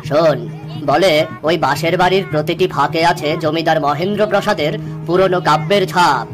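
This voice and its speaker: A woman's voice: low-pitched, fairly quick, music in the background, natural voice. A woman voicing a teasing, gossipy village shopkeeper who spins mysterious rumours in a cartoon story.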